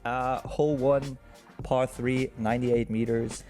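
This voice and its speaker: A man's voice: medium pitch, little pitch movement, measured pace, dark tone, soft background music. A man co-commentating on a disc golf round, chatting and reading out player stats. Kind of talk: sports commentary.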